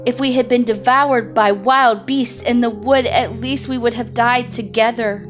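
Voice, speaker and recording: A woman's voice: on the high side, steady tone, unhurried, pitched above the performer's own voice. A woman voicing a frightened little girl who pleads in a weepy voice. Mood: tearful pleading. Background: soft music.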